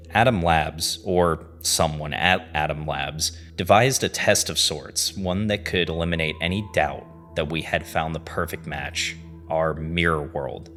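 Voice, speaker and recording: A man with an extremely low, bright and forceful voice narrates a scripted story as a host. His phrasing is deliberate and dramatic, with pauses for weight, over clearly audible music. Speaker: narrator and host of a horror series review.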